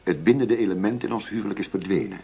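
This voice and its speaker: An actor playing a middle-aged police chief going undercover in a radio play, persuasive and matter-of-fact. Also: male voice, on the low side, fast talker, creaky, slightly rough. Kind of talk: Dutch radio play acting. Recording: dull lo-fi radio play recording.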